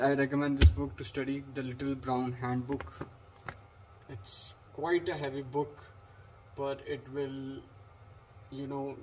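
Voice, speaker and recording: Guy from pakistan teaching english, flat monotone, lots of uhs and restarts, bit of hiss behind. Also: mid-range voice, low-quality recording. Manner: rambling, hesitant, many fillers and restarts; flat delivery. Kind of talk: unscripted lecture.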